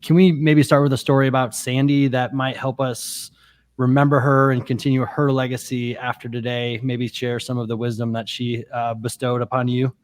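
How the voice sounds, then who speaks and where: Flat-voiced man hosting an interview. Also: medium pitch, normal speed. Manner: gentle, hesitant, repeats words. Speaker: interview host. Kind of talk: interview conversation.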